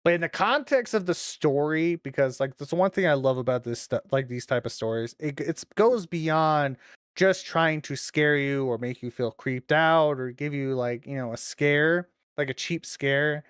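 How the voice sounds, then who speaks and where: A man's voice: a little high, moderate pace, fairly bright tone, smooth, no room sound, clean studio sound. A man livestreamer talking casually to his chat, informal and teasing, with some swearing. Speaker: livestreamer hosting a watch-along.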